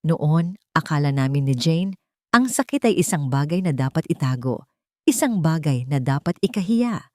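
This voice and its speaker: A woman reading a calm, earnest wealthy father. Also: low-pitched, moderate pace, no echo, studio quality. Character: grown man, reflective wealthy father.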